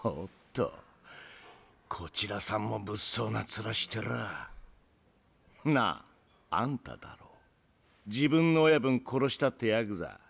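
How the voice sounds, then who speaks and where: A man's voice: fairly low pitch, very animated, slow, vocal fry, pauses often, fairly bright tone, breathy edge, full character voice. An actor playing a sly, mocking prison thug who drawls his taunts, in a crime video game. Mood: sly, needling. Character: sly, taunting convict sent to attack.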